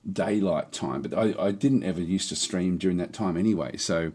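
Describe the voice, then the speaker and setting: A middle-aged man streaming a game, chatting casually with a slightly hoarse voice that is on the mend, rambling with many restarts. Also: deep voice, darkish tone. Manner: rambling, halting, lots of restarts and fillers. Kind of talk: casual live-stream chat.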